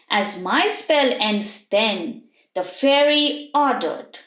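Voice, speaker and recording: A high-voiced woman reads a children's fairy tale with plenty of melodic movement. She takes her time over each phrase in a deliberate storybook delivery, and the room adds a noticeable echo. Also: a bit bright. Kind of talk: scripted bedtime fairy tale narration for children.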